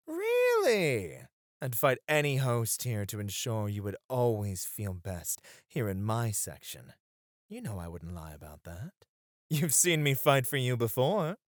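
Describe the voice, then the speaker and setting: Man playing flirtatious male bunny host, unhurried, dry close sound, courtly, teasing, devoted, ASMR roleplay. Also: mid-range voice, no echo. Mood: devoted, reassuring. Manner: teasing, courtly, eager to please. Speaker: club host.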